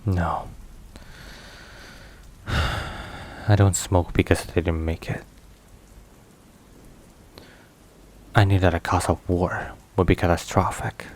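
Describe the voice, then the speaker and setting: A man playing a stern, protective, weary mafia boss in an intimate ASMR roleplay, speaking in a grave, measured, halting way. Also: sits low. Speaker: voice actor. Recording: steady rain and thunder noise. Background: rain and thunder.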